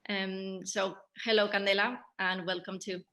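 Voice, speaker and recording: Bright-voiced woman hosting. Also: mid-range voice, little pitch movement. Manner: halting, searching for words. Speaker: moderator, theatre director. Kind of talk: hosting a post-show Q&A.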